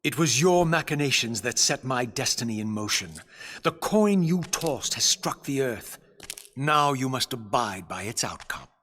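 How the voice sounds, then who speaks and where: An actor playing an embittered undead wraith in a video game, defiant and sardonic, with biting formal diction. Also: male voice, medium pitch, expressive, unhurried, a bit bright, slight background noise. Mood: bitter, accusing. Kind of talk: dramatic video game cutscene acting.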